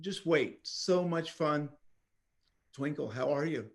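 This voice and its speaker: A man's voice: a little high, takes it slowly. A man hosting a podcast interview, eager and enthusiastic as he welcomes his guest. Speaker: podcast host.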